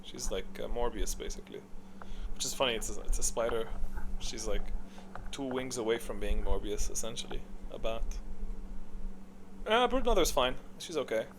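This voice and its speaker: A man's voice: mid-range voice, expressive, normal speed, pauses often, noisy background. A man giving blunt, casual, rambling commentary on game characters, thinking aloud and second-guessing himself. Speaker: gaming content creator.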